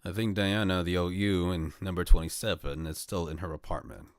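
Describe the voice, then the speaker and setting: A young man streaming from home, calmly reading a visual novel aloud in the first person. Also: deep voice, fairly quick, no echo, studio quality.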